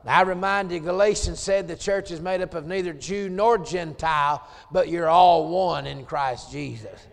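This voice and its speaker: A gravelly-voiced Southern preacher in his late forties, pitched a little higher than most men. He swings between low, chatty asides and loud, hollered lines of scripture. The sound rings through a church with a long echo. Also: normal speed, hardly any pauses. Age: 40s. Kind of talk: Southern revival sermon. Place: church sanctuary.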